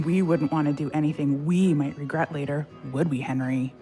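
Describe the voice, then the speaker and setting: A brash, needling woman, acted for an audio play, with a lowish voice. She is the blunt best friend, wry and teasing in how she talks. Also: steady tone, moderate pace, mellow, soft background music, lightly acted. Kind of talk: audio drama acting.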